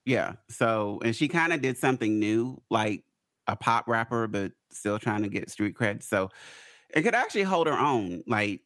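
Animated rambling man chatting pop culture. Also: fairly low pitch, dry recording, studio quality. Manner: animated, rambling, quick to rant. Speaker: podcast co-host. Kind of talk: casual pop culture chat.

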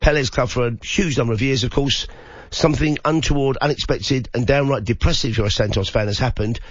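A man hosting a late-night radio talk show, croaky from a cold, chatting and putting questions to his guest. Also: mid-range voice, a little fast, no room sound, highs cut off. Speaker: radio host.

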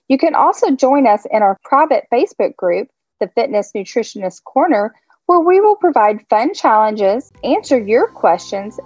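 Breathy woman reading a podcast intro. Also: fairly high pitch, a little mellow, no room sound. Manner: smooth, upbeat, read from script. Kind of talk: scripted podcast intro over music. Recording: dull lo-fi with background music.

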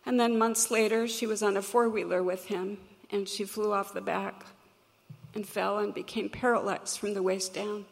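A woman with a crackly, gravelly voice shares a personal story from a stage. She speaks earnestly and reflectively, at a measured pace. Her words trail off into the long reverb of a large hall. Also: mid-range voice, level tone. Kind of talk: personal story told to an audience.

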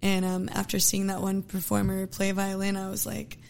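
A woman singer from Texas, a guest, talking casually with lots of fillers and easy laughs, her voice a little hoarse. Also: medium pitch, completely flat delivery, moderate pace, a little mellow, faint music behind. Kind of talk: casual interview chat.

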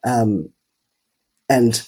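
A man's voice: mid-range voice, drawn-out words, darkish tone, slightly rough. A man from the Netherlands, a seminary student, answering interview questions thoughtfully and hesitantly with many fillers.

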